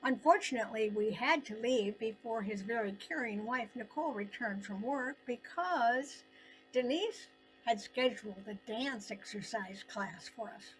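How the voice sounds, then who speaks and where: Older female storyteller, slow and deliberate, expressive delivery with dramatic pauses, high register. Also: faint music behind, poor audio. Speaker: storyteller narrating her own story. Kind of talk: scripted personal story narration.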